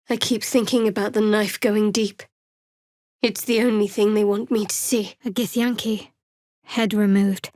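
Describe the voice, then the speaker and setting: A woman playing a guarded, sardonic young cleric of a dark goddess in a video game, with dry, clipped delivery. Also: mid-range voice, no room sound, close to an everyday voice. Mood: serious, measured. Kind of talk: video game character dialogue.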